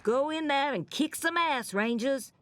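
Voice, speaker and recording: A woman's voice: high-pitched, lively intonation, unhurried, a bit bright, no room sound, studio quality. An actress voicing a tough, commanding woman sheriff in a video game, blunt and folksy, with a drawl. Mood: firm, exasperated.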